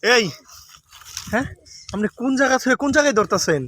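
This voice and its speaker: A man's voice: very high-pitched, lively intonation, fast, pushed and bright, a little hiss or hum, heavily acted. A man acting a pushy, lecherous village man in a comedy sketch, nagging and repetitive, with a rural Bangladeshi Bengali accent. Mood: accusing, nosy. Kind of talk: improvised comic dialogue.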